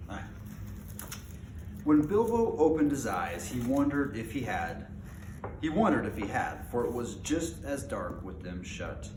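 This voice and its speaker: A man reading a storybook aloud as an informal amateur narrator, sometimes stumbling and rereading phrases. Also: on the low side. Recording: roomy echo, clear background noise.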